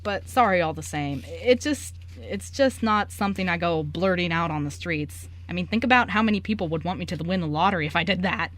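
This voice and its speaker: A woman's voice: fairly low pitch, fairly quick, a bit bright, lightly acted. A woman playing a breezy young male journalist with secret magic in an amateur radio play, talking in a casual, joking, slangy way. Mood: friendly, sincere.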